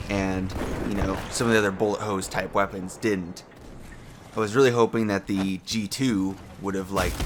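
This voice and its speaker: A man's voice: fairly low pitch, noisy background. A young man just out of bed giving casual, rambling commentary as a show host, swearing, restarting sentences and trailing into fillers. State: voice sounds a little off from just waking up. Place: home room with a fan.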